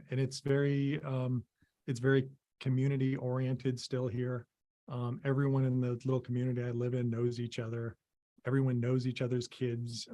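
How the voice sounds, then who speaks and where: Halting middle-aged man from Maine. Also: medium pitch, dark tone. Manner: halting, many ums and restarts. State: losing his voice, clearing throat. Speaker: interview guest, visual effects artist. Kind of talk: casual conversation over video call. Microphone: video call.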